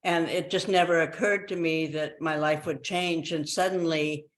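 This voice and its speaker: A woman's voice: sits low, steady tone, normal speed. A woman in her eighties speaking as an interview guest, telling her own story in a reflective, conversational way. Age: 80s.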